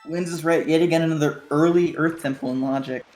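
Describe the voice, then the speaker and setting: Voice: mid-range voice, fairly even intonation, a little fast, a little mellow, reverberant, faint music behind. A co-commentator doing casual game commentary, teasing the others and laughing a lot.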